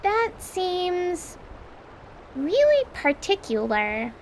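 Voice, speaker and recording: A woman playing an excitable young apprentice magician girl full of wide-eyed wonder in a fantasy audio drama. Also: very high voice, extremely slow, a little hiss or hum, heavily acted, poor audio. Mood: curious, unsure. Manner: wide-eyed, gushing, exclaiming.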